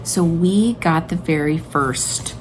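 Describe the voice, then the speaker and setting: A woman's voice: deep voice, takes it slowly, slight background noise, low-quality recording. A woman in her late thirties chatting casually and enthusiastically to viewers as she recommends books, with emphatic, informal delivery. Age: late 30s. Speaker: homeschooling mother and video host.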